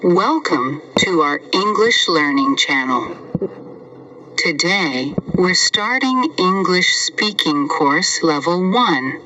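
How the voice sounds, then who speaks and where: A man's voice: sits high, expressive, slow, sharp tone, recorded from a distance, dull audio, a little hiss or hum. A man teaching a beginners' English lesson, reading numbered sentences and saying each one twice. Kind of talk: slow scripted language lesson with repetition.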